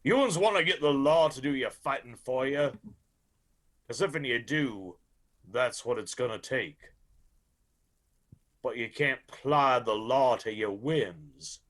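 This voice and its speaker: Male actor as blunt Appalachian lawman, radio drama; very slow, folksy, grimly firm delivery at a middling pitch. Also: a bit bright.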